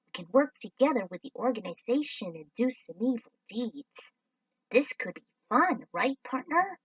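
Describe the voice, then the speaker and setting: Woman voicing an eager alien fanboy, fawning, excitable, higher voice, distant mic. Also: normal speed, no room sound, muffled recording.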